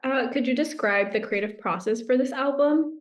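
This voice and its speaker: A woman's voice: sits high, normal speed. A woman interviewing a band, asking short, direct questions about their album.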